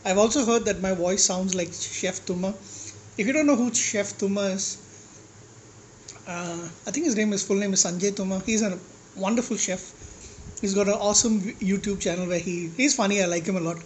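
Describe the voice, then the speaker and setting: A man vlogging with a slightly high voice, talking casually and bluntly. He rambles with restarts and asides, and curses when exasperated. A faint hiss sits under his voice. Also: normal speed, poor audio. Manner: blunt, exasperated, swearing at critics. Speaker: food vlogger. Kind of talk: casual rant to camera while eating.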